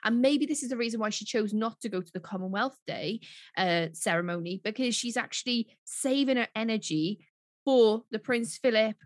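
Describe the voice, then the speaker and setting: Gushing Welsh woman, slightly high. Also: moderate pace, no room sound, clean studio sound. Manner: enthusiastic, gushing, tag questions. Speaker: podcast co-host. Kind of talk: casual chat.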